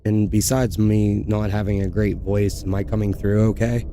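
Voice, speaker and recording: A man's voice: on the low side, little pitch movement, normal speed, warm tone, a little hiss or hum. A man streaming a video game in casual live chat, with his voice giving out as he talks. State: losing his voice.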